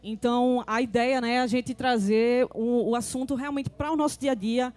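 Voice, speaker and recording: A woman moderating a live panel on a conference stage, talking in a halting way with many fillers and restarts. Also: a little high, steady tone, darkish tone. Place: conference stage before an audience.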